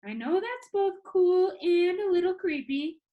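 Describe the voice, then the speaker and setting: A woman puts on a cheeky, dying teenage boy in a read-aloud storybook. He talks in a high, lively voice that rises and dips a lot. His delivery is dramatic, teasing and full of jokes. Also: a little slow, narrow, tinny audio. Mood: playful, secretive. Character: dying teenage boy making joking farewell videos.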